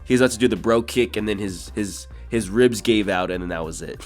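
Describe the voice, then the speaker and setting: A man's voice: lowish voice, brisk, soft background music. A young man co-hosting a casual wrestling chat, recapping in a wry, giggly way. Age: young adult. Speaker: co-host.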